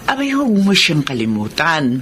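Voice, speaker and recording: A woman's voice: low-pitched, huge pitch swings, moderate pace, fairly bright tone, heavily acted. Casting: caring, meddling Filipina mother, middle-aged, very swoopy delivery, radio drama with noisy sound. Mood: concerned, motherly.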